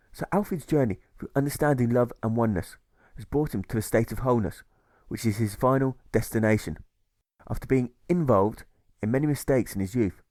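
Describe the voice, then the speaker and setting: A man narrates a rhyming audiobook in a measured, rhythmic way. His pitch sits in the middle for a male voice, and the recording is dry and free of room sound. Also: a little mellow, dry recording. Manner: measured, rhythmic verse delivery. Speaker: author reading own audiobook.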